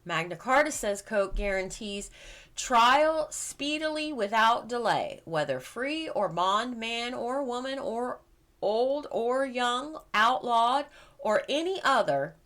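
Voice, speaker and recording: A woman reading scripted nonfiction aloud as a narrator, with measured, deliberate phrasing and emphatic pauses. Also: fairly high pitch, lively intonation, a bit bright. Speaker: reader of a legal book.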